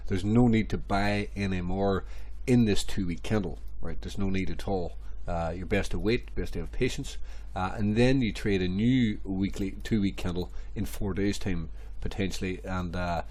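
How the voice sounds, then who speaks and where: A man, hoarse and stuffy from a cold, rambling through crypto chart analysis with many repeats and fillers. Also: deep voice, normal speed, hardly any pauses, a little mellow, a little hiss or hum. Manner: rambling, repeats phrases, many ums and restarts. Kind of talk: casual screen-share market commentary.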